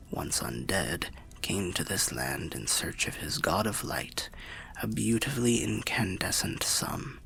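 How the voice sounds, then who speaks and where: A man voicing a weary old undead wanderer telling fantasy tales in ASMR roleplay, half whispered and gravelly, with a measured, wry, old-fashioned way of speaking. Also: bright, forceful tone, full character voice. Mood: fond, hushed. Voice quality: breathy whispering, gravelly low voice. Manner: measured, archaic, wry storyteller.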